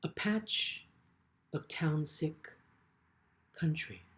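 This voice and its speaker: A man giving a literary reading as a poet, with deliberate, measured phrasing and frequent pauses. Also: on the high side, big pitch swings, fairly bright tone. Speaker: poet reading own work. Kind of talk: literary poetry reading with brief spoken introductions.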